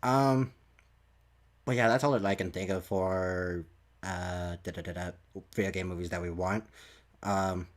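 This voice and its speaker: A man's voice: deep voice, steady tone, measured pace, pauses often. A man hosting a casual podcast chat about games, often repeating and rephrasing as he works out his thoughts. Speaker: podcast host.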